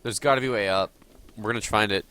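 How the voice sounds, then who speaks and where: A man streams a game live and talks through each move as he plays it. He is sniffly from a cold. His voice is bright and forward, sitting fairly low. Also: quick pace, smooth. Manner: chatty, thinking aloud while playing. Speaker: gaming streamer.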